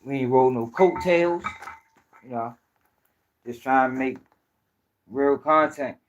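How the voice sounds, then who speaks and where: A man's voice: medium pitch, slow pace, highs cut off, slight background noise. A man with a Baltimore accent hosting a casual livestream, talking to viewers in loose, profane street slang. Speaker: host of a commentary channel.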